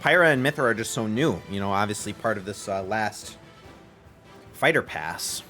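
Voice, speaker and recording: A young man hosting a game stream, giving lively, excitable play-by-play and laughing often with his co-host. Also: fairly low pitch, moderate pace, soft background music. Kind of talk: casual game commentary.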